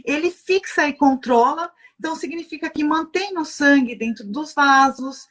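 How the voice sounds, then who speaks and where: Brazilian woman, high voice, teacherly, poses questions to herself and answers them. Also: moderate pace, a bit bright. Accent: Brazilian Portuguese. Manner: teacherly, asks herself rhetorical questions, tags sentences with "né?". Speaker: guest lecturer on Chinese medicine. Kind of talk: explanatory talk from a livestream.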